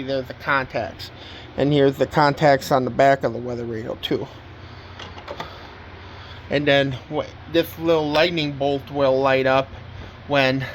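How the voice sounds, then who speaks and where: A man giving a casual home video walkthrough as a hobbyist, haltingly, often trailing off and repeating words, recorded indoors on a handheld camera. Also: fairly high pitch. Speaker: hobbyist showing a weather radio collection. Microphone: handheld camera microphone. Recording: steady background noise, handheld home camera.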